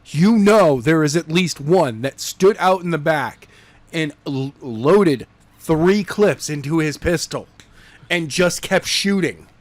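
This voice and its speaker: Man, higher-pitched, animated intonation, slangy and playful, casual podcast co-host, dry room sound. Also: slow, no echo. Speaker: podcast co-host and chapter narrator. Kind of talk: casual, joking book discussion.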